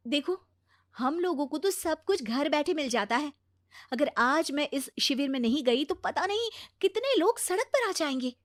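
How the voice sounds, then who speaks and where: A woman's voice: high-pitched, expressive, moderate pace, dry recording, studio quality, voice pushed up. A woman voicing a busy, self-important young daughter-in-law, preachy and exasperated, in a story dialogue. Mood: self-righteous. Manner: self-righteous, excuse-making. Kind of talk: acted dialogue in a Hindi moral story.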